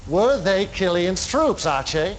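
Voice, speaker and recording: An actor playing a charismatic, menacing male cult leader in a game cutscene, speaking in a measured, commanding way. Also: high voice, big pitch swings, a little hiss or hum, exaggerated voice. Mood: interrogating. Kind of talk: theatrical game cutscene acting.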